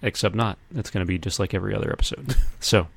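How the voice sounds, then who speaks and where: A man's voice: fairly low pitch, quick pace, vocal fry, husky, no echo. A man hosting a casual podcast with friends, bantering in a jokey, self-deprecating manner. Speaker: podcast host.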